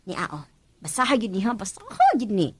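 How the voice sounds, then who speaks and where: A woman's voice: mid-range voice, normal speed, slightly rough. A woman with a Filipino Cebuano accent acting a bossy, gossipy senior housemaid in a radio drama. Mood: stern warning. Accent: Filipino, Cebuano-speaking. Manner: animated, chatty, admonishing.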